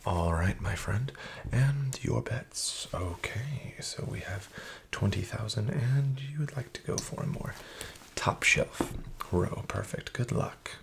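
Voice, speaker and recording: A man voicing a sketchy, condescending casino dealer in a soft-spoken ASMR roleplay, half-whispering with false politeness. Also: on the low side, slow, vocal fry, slight background noise. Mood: smarmy.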